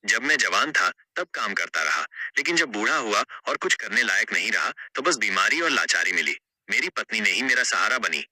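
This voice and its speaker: A man acts out an old soul praying in sorrow in a cartoon fable. The voice is extremely bright and sharp, with animated, swinging pitch. It is recorded very dry, and he implores humbly. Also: normal speed, no room sound, heavily acted, poor audio. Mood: sorrowful, imploring. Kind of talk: Hindi cartoon moral story monologue.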